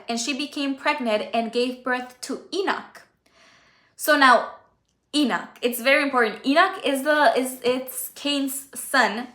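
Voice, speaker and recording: A woman's voice: a little high, pushed and bright. Chatty Spanish-speaking woman, echoey room. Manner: chatty, self-correcting, stumbles over names. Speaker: Bible study livestream host. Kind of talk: casual Bible reading and commentary.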